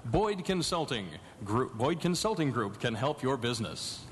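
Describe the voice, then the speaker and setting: Animated male radio announcer in a hall. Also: mid-range voice, normal speed, slight background noise. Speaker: announcer reading sponsor spots. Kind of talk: scripted radio advertising read. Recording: live hall reverb. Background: music.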